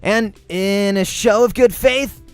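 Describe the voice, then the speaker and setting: A man's voice: high voice, takes it slowly, clear, resonant tone, soft background music, voice pushed up. A man voicing a cocky teenage boy gamer in a visual novel, with a smug, taunting, slangy manner.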